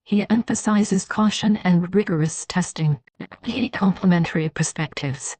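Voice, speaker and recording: A woman reading scripted analytical narration in a formal, measured manner. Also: on the low side, a little mellow, no room sound, rough recording.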